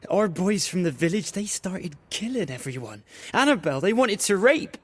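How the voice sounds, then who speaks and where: An actor playing a grief-haunted village fisherman in a fantasy video game, with a rustic, halting, emotional delivery. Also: high-pitched, sing-song, husky, exaggerated voice. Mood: distraught. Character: anguished village fisherman, a plain man haunted by lost love. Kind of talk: dramatic video game voice acting.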